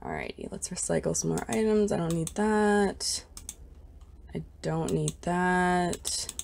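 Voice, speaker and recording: A woman's voice: lowish voice, very animated, takes it slowly, gravelly, a little mellow. A young woman with a congested, scratchy voice, chatting casually and gushing as she shops for items in a game. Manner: chatty and bubbly, gushing over cute items. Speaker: gaming YouTuber. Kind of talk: casual gameplay commentary.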